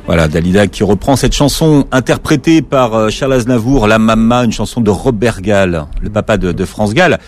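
A man's voice: fairly low pitch, expressive, normal speed, few pauses, faint music behind. A man hosting an interview, introducing a song and prompting his guest with short questions and remarks. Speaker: interview host. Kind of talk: radio-style interview.

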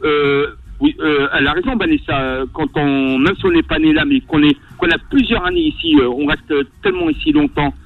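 Male radio caller, high gravelly voice, hesitant, tinny distant phone line. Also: expressive, brisk. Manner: hesitant, many fillers and restarts. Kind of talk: call-in discussion.

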